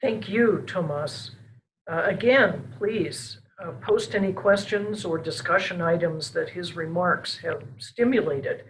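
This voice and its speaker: A moderator briskly introducing the next speaker in a webinar. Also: medium pitch, slight background noise, rough recording.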